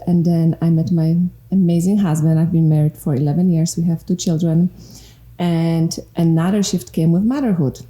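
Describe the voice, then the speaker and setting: A woman in her forties from Poland, a relationship coach speaking as a guest in a casual podcast chat, earnest and quick to laugh. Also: fairly low pitch. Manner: warm, earnest, laughs often. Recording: echoey room.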